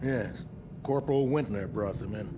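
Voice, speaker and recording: An actor playing a stern, skeptical general in a radio drama, curt and authoritative. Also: male voice, lowish voice, normal speed, noisy background, lightly acted. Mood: skeptical, dry. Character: stern, skeptical American Air Force general.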